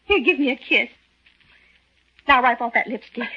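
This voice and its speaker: An actress playing a domineering, talkative young wife who nags, in 1940s radio drama style. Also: female voice, fairly high pitch, lively intonation, moderate pace, sharp tone, husky, full character voice. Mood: brisk, affectionate. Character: bossy, nagging young wife of a soldier. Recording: dull lo-fi 1940s radio recording.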